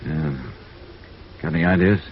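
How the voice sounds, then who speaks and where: A man's voice: deep voice, moderate pace, a little hiss or hum. A male actor playing a world-weary, wry insurance investigator in a clipped, hard-boiled 1950s radio noir style. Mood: casual, probing. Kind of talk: 1950s radio noir acting, first-person narration. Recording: muffled vintage radio recording, faint music.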